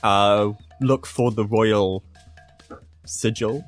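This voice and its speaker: A woman's voice: a bass voice, steady tone, drawn-out words, faint music behind. A woman chatting casually as a player in a tabletop role-playing game, chiming in with wry remarks. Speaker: player in a tabletop RPG podcast.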